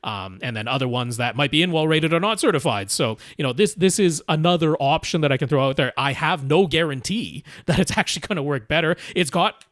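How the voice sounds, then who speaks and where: A man's voice: fairly high pitch, lively intonation, a little fast, few pauses, a bit bright, no room sound, studio quality. A man hosting a podcast, explaining gear problems in a casual, explanatory way and quoting people in asides. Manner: explanatory, quotes people in asides, frequent restarts. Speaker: podcast host giving home theater advice.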